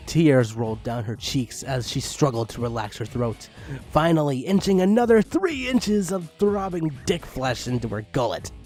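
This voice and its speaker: A man reading fan fiction aloud in a mock-dramatic style, breaking off for amused asides. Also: fairly high pitch. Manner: animated, breaks off to comment. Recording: music under the voice. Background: background music.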